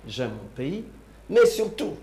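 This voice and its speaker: A man's voice: a little high, very animated, takes it slowly, mellow. A man from DR Congo with a Congolese accent, speaking personally and insistently as a panelist in a political debate, often repeating his phrases. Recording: very echoey room with faint hum.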